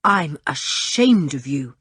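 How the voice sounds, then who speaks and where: Woman reading a bossy calm teen boy, cool and scornful, husky tone, dry close sound. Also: low-pitched, slow pace, no echo, exaggerated voice. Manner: level and commanding, scornful. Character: calm, stern, scornful older boy leader. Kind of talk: audiobook character reading.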